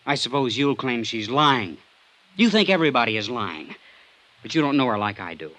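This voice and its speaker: A man's voice: mid-range voice, very animated, moderate pace, sharp tone. An actor playing a defensive, lovestruck man, earnest and insistent, in a vintage radio drama. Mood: bitter, defensive. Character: defensive, lovestruck man, hot-headed and loyal. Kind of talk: 1950s radio drama acting.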